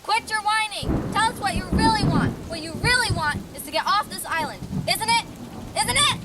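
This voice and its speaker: A woman's voice: extremely high pitch, expressive, normal speed, heavy background noise. A woman playing a bossy, fierce young sister with a commanding delivery in a theatrical staged reading. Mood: fierce, demanding. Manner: forceful, commanding. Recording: distant microphone.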